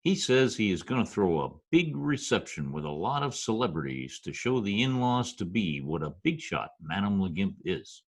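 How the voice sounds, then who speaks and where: A man playing a wisecracking Broadway hustler who narrates his tale in old-time radio drama style, full of street slang and deadpan turns. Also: fairly low pitch, normal speed, few pauses, husky, exaggerated voice. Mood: wry. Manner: folksy present-tense slang, deadpan storytelling. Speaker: narrator and supporting character. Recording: music behind, home video-call radio play.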